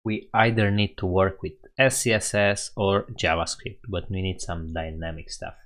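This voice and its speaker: A man's voice: low voice, steady tone, normal speed, fairly bright tone. A man with a Romanian accent hosting a live coding stream, thinking aloud and correcting himself as he types. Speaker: coding livestream host. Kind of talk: casual live coding narration.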